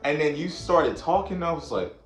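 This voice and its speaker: A man in casual chat with a friend, animated, acting out what people said and laughing often. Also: a little high, fairly quick. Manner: animated, acts out quotes, laughs a lot. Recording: roomy sound with faint music.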